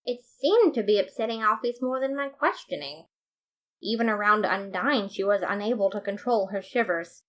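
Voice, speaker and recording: A woman narrating a fan fiction story as a podfic reader, in a steady, measured way. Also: mid-range voice.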